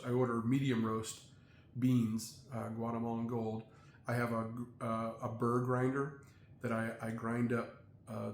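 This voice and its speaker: Middle-aged man, gravelly voice, flat delivery, halting with fillers, echoey room. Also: medium pitch, slight background noise. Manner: halting, many fillers and restarts. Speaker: vlogger. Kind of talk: casual rambling monologue. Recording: echoey room, faint ambience.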